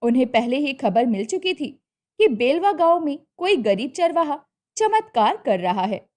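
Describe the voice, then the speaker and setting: A woman's voice: sits high, sing-song, a little slow, a little mellow. A woman narrating a scripted moral story as a storyteller.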